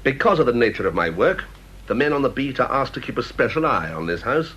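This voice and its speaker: A man's voice: mid-range voice, sing-song, fast talker, fairly bright tone. An actor playing a stern, proud male government official in a radio drama, clipped and officious. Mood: strained, stiff. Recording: muffled, noisy radio drama recording.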